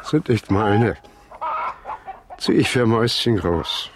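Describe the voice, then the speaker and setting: An actor in a German radio play playing an old, taciturn grandfather, gruffly reserved and terse in his way of talking. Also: lowish voice, slow, very noisy. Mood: gruff, sad. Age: older. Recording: farmyard with clucking chickens. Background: chickens and birds.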